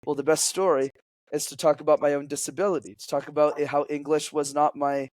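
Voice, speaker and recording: A young man who grew up speaking French, explaining storytelling as a public speaking coach in an energetic, teacherly way as a podcast guest. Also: fairly high pitch, a little fast, no room sound.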